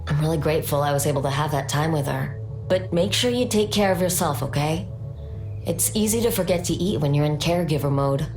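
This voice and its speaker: A low, roomy voice for a caring, practical game villager. She speaks supportively over faint music. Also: fairly quick, a little mellow. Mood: sympathetic. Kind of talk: voiced video game dialogue.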